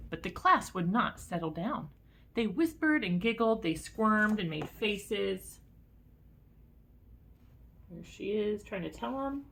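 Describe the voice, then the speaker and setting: A woman's voice: medium pitch, creaky, fairly bright tone, a little hiss or hum. A woman reading a picture book aloud to children as a teacher, expressively and with casual asides.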